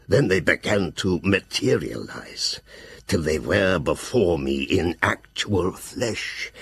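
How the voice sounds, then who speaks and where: An actor playing a grave, fearful old professor who hunts vampires, narrating his journal solemnly in an audio drama, with a gravelly, husky voice. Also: a bass voice, fairly bright tone, dry recording, exaggerated voice. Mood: dread, fierce hope.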